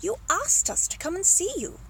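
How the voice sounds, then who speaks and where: A woman's voice: very high-pitched, huge pitch swings, moderate pace, noisy background, pitched above the performer's own voice, full character voice. A woman voicing a polite, matter-of-fact giraffe in a children's storybook, courteous and plain-spoken. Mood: polite, assured.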